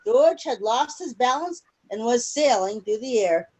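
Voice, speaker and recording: A woman reading a picture book aloud to children in an expressive storytelling way over a video call. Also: on the high side, a little slow, husky. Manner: expressive storytelling with questions to listeners. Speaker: children's story-time reader. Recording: noisy video call recording.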